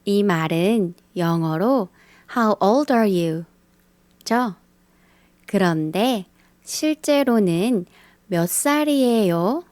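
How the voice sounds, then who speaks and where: A woman teaching a beginner language lesson, speaking in a slow scripted style and carefully repeating the example phrases. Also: medium pitch, animated, darkish tone, no echo. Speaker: Korean teacher.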